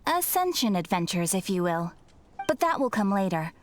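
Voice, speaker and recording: An actress playing a polite young guild receptionist in a video game, explaining things in a courteous, formal and measured manner. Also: female voice, mid-range voice, big pitch swings, lightly acted. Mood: formal, welcoming. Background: game music.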